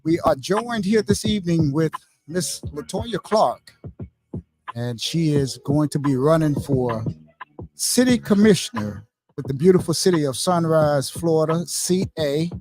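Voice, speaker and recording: A man's voice: a little high, lively intonation, unhurried, a little mellow, faint music behind, poor audio. A man hosting a podcast interview, speaking hesitantly with many fillers and restarts. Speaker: podcast host.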